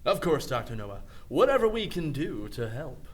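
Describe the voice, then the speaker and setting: A man's voice: mid-range voice, sing-song, moderate pace, slightly rough. A man playing a breezy, reassuring superhero in a comedy radio drama.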